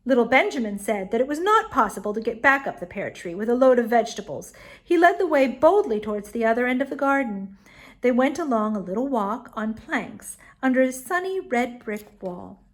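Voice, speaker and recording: A woman storyteller reading a children's tale aloud expressively, with dramatic pauses and emphasis. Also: a little high, big pitch swings.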